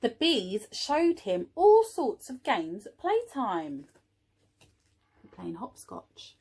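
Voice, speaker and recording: A woman's voice: fairly high pitch, wildly swooping pitch, extremely slow, a little mellow, husky. A woman, an early years teaching assistant at home, encouraging young children and asking them questions as she reads a story.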